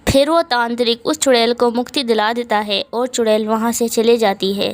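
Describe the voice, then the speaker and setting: A woman's voice: fairly high pitch, steady tone, moderate pace, no room sound. Woman telling a children's horror story. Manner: plain, moralizing narration.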